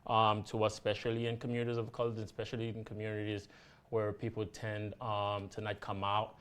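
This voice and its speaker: A Haitian-born man speaks earnestly as an activist guest on a talk show. His voice sits low, and he talks haltingly, with frequent fillers and false starts. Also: completely flat delivery, a bit bright, clear, resonant tone. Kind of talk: casual interview chat.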